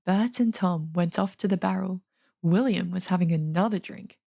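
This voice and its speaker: A woman with a husky voice reading a fantasy novel aloud as dramatic storybook narration. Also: on the low side, normal speed, mellow. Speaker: streamer reading an audiobook.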